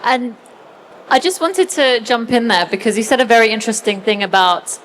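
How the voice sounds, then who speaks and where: A woman's voice: fairly high pitch, moderate pace, fairly bright tone, a little hiss or hum, rough recording. A woman moderating a conference panel, asking polite questions with brief affirmations. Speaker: debate moderator. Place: conference stage.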